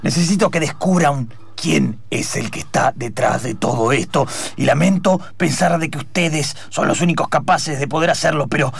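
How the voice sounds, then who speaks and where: A man's voice: a little high, vocal fry, a bit bright, breathy edge, exaggerated voice. A man playing a bossy, exasperated police chief in improvised comedic radio theatre, scolding emphatically with an Argentine accent.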